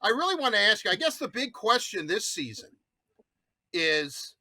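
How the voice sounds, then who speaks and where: High, very bright American man, dry sound. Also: very animated, moderate pace, no room sound. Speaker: talk show co-host. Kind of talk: casual chat, sports interviewing.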